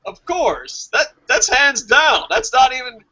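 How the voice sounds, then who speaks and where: A man's voice: extremely high pitch, big pitch swings, a little slow, sharp tone, muffled recording. A man hosting a casual wrestling review podcast, chatting informally with some swearing. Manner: profane, informal. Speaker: podcast host.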